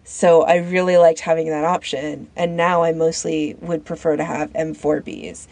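A woman's voice: deep voice, flat delivery, normal speed, a little mellow. A woman co-hosting a podcast, talking in a casual conversational discussion. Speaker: podcast host.

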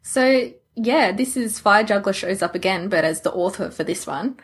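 A woman's voice: mid-range voice, animated, normal speed, breathy edge. A woman co-hosting a podcast, talking in a casual conversational way. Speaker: podcast co-host.

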